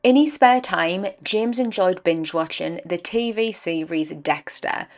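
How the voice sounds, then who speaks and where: A young woman narrates a true crime case in an earnest, measured way, stressing her words. Her voice is croaky and dry from a cold, with a gravelly edge. It sits on the low side for a woman. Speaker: true crime podcast host.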